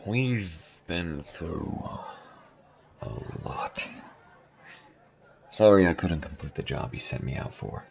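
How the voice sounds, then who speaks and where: A man playing a gruff but fond alien smuggler in an audio roleplay, speaking low-key and haltingly in a gravelly voice. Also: expressive, heavily acted. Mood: sheepish. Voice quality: very deep, gravelly. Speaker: voice actor.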